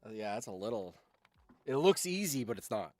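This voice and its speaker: A man's voice: fairly low pitch, very animated, fairly quick, darkish tone. A man co-hosting a stream, chatting casually about video editing in a hesitant, thinking-aloud way. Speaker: stream co-host commenting on editing. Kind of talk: casual tech chat.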